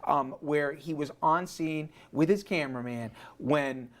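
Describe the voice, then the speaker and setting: An American man hosting a casual panel discussion, speaking haltingly as he searches for his words. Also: on the high side. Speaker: panel show host.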